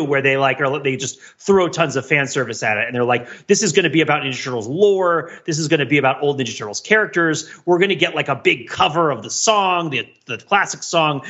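Animated, bright-voiced man, slightly dull audio. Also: a little high. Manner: rambling, animated, digressive. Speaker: podcast co-host. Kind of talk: casual pop culture discussion.

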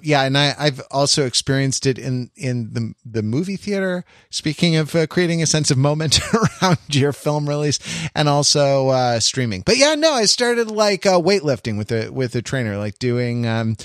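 Slightly high-voiced man in his forties, rambling. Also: fairly bright tone, dry recording, studio quality. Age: 40s. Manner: rambling, self-interrupting, repeats words. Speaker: podcast host. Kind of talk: casual chat.

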